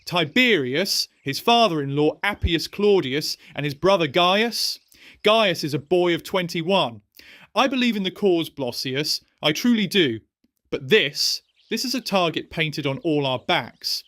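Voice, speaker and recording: A man's voice: fairly high pitch, expressive, a little slow, pushed and bright, no room sound. Male actor as young Roman noble, anxious, earnest, argumentative, rhetorical, close dry sound, audio drama. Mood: urgent, fearful. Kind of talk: historical audio drama acting.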